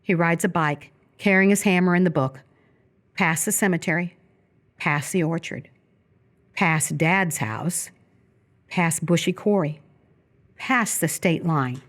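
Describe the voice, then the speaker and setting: A woman narrating a live radio theatre script, reading stage directions in a measured, descriptive way. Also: lowish voice.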